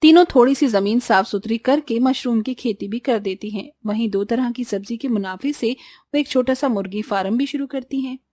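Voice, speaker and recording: A woman's voice: fairly high pitch, moderate pace, dark tone, dry recording, clean studio sound. A woman giving scripted narration for a cartoon village story.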